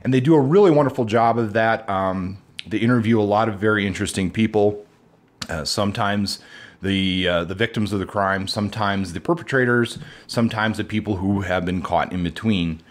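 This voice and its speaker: Deep-voiced male podcast co-host. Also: a little fast. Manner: relaxed, rambling with restarts. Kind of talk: casual chat.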